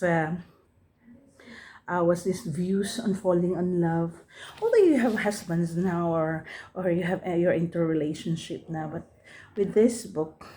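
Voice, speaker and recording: A woman's voice: on the low side, a little mellow. A woman with a Filipino accent, a native Tagalog speaker, casually reviewing a book in a vlog. She switches between English and Tagalog, laughs easily, repeats phrases and hunts for words. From Philippines. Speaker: book vlogger.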